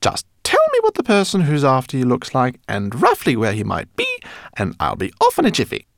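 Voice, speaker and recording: A man reading an audiobook, voicing a brash, fast-talking female rat pilot in a shrill, squeaky voice. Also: wildly swooping pitch, vocal fry, dry recording, clean studio sound. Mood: chirpy. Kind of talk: audiobook character voice.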